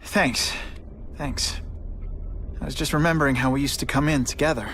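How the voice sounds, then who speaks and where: An actor voicing a young male masked hero in a video game, wry and earnest, with loud music behind. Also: mid-range voice, fairly even intonation, moderate pace, natural voice. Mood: subdued.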